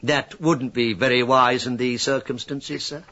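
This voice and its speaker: A man's voice: medium pitch, fairly even intonation, measured pace, sharp tone, muffled recording. An actor with a British accent playing a shifty, sardonic man posing as a police inspector in a radio drama, dry, needling and mock-deferential. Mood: wry regret.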